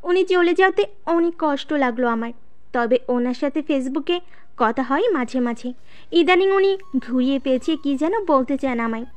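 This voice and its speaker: A woman's voice: sits high, expressive, normal speed, highs cut off. A woman playing a shy, easily piqued student who narrates her own story in a scripted audio drama. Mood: earnest, wistful. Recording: music behind the voice.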